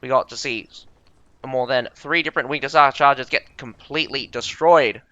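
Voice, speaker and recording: A young man casts a live strategy game with a bright, crisp, smooth voice. His talk is chatty and self-deprecating. The sound is dry and a little dull, with faint music underneath. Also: medium pitch, normal speed, no room sound. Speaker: game caster and streamer. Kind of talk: live game commentary with chat banter.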